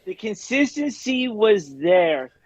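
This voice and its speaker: High-voiced laid-back man on a dull call line. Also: takes it slowly, no room sound. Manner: laid-back, relaxed slang, repeats words. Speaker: co-host. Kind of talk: casual sports talk. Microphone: video call.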